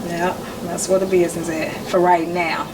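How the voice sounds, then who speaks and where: A woman's voice: lowish voice, moderate pace. Woman in her forties, distant and noisy. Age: 40s. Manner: relaxed, conversational, speaks in short phrases. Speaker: podcast guest, caterer. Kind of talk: casual chat.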